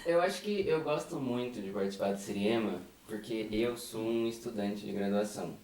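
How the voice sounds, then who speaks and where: A man's voice: lowish voice, normal speed, darkish tone. A young Brazilian man, an undergraduate, chatting casually about being in a student theatre group. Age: young adult. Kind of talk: casual chat. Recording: roomy, slightly distant mic.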